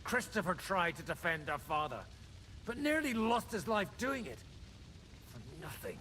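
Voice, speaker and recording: Animated, solemn medieval nobleman. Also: high voice, moderate pace, slight background noise, exaggerated voice, rough recording. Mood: serious, cautioning. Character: sober, cautious medieval nobleman, loyal and grave. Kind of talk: dramatic video game dialogue.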